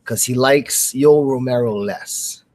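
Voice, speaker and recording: Male radio co-host from the US, mid-pitched voice, loose and slangy sports chat, dry close sound. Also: slow pace, darkish tone, no echo. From United States. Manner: informal, slangy. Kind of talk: casual live sports chat.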